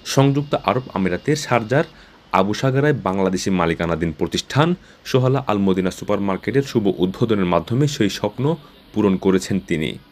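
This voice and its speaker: Lowish-voiced man narrating a news feature. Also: lively intonation, brisk. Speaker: news reporter.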